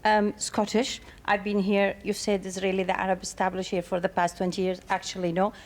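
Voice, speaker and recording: A woman's voice: mid-range voice, monotone, a little fast. A middle-aged woman speaking up in an audience discussion. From Iraq. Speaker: community society representative.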